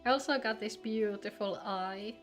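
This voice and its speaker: A woman's voice: mid-range voice, normal speed. A woman game streamer chatting casually and playfully while she plays, joking along as she goes. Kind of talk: casual livestream chat while gaming. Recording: background music behind the voice. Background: music.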